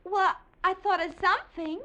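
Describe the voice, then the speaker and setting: Shy martian lady, tiny high bright voice, halting, old radio drama. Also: steady tone, lo-fi sound. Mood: timid. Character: timid, forgetful female Martian. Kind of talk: 1940s-50s radio acting.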